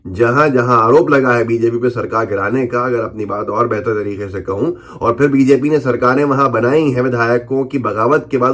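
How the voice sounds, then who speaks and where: A man presenting news commentary in an emphatic, questioning way, speaking off the cuff and repeating phrases for stress. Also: medium pitch. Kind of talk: unscripted political news commentary, reading out newspaper headlines.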